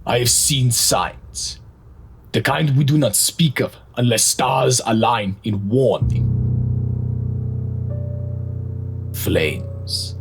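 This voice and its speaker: A man playing a wise old mystic master in an audio drama, speaking in cryptic, poetic phrases with a creaky voice. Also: mid-range voice, soft background music. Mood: ominous. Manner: slow, cryptic, poetic speech. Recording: clearly noisy recording.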